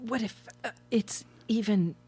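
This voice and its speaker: An actress playing a tactful but frank wife in a live radio play, with halting, amused delivery. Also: female voice, on the high side, mellow, slightly rough, no room sound. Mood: hesitant.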